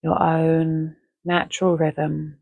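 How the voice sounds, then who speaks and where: A woman with a lowish, soft-toned voice leads a guided relaxation. She is gentle and unhurried, pausing between short phrases. The sound is dry and close, with no room around it. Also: monotone, creaky, no echo. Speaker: meditation guide. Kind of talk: guided Yoga Nidra relaxation, soothing and measured.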